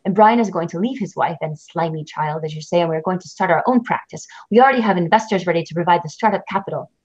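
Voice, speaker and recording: A woman's voice: on the low side, fast talker, a little mellow, close to an everyday voice. Woman playing an icy, condescending wife. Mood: contemptuous. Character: cold, cutting ambitious lawyer wife. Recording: dull lo-fi recording.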